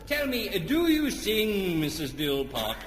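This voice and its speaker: An actor playing a bumbling, self-important middle-aged dad in old-time radio comedy, hesitant and pompous. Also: male voice, sits high, huge pitch swings, a little slow, full character voice. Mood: grandly commanding. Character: bumbling, self-important family dad dreaming he's a stage hypnotist. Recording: echoey old radio recording, faint hiss.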